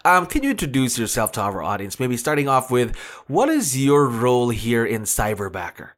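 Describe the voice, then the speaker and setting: A man's voice: medium pitch, big pitch swings, normal speed, fairly bright tone. A man hosting an interview show in an upbeat, conversational style. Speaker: podcast host.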